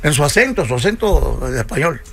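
An older man from Venezuela, a salsa musician, telling unhurried stories in a radio studio interview and acting out the dialogue he quotes. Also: on the high side, big pitch swings, gravelly.

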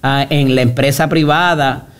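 Probing talk-show host, clear voice. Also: male voice, mid-range voice, fairly even intonation. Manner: brisk, probing, cuts in with pointed questions. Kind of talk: radio interview.

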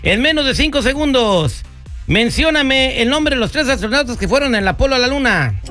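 A man's voice: very high voice, expressive, fairly quick, bright, forceful tone, faint music behind. A man hosting a radio call-in quiz show, joking and teasing the callers.

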